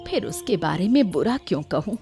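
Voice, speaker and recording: A woman's voice: mid-range voice, sing-song, a little fast, over music. A woman playing a meek, long-suffering old mother-in-law who pleads and effaces herself in an acted story. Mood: gentle, stoic. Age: older. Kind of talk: Hindi audio story acting.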